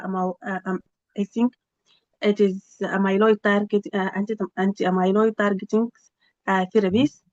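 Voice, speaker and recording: A woman researcher giving a spontaneous project update over a video call, halting with many fillers and restarts. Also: medium pitch, dry recording. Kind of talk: spontaneous meeting report. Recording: slightly dull, dry video-call audio.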